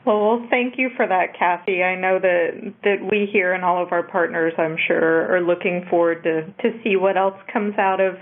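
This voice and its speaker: A woman's voice: lowish voice, fairly even intonation, normal speed, hardly any pauses. A woman moderating a networking conference call, speaking over a telephone line. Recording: narrow tinny phone conference line.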